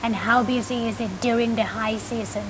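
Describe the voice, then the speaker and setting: A woman's voice: fairly high pitch, fairly even intonation, a little fast, noisy background. A woman TV host narrating a travel feature and asking interview questions in a polished presenter's manner.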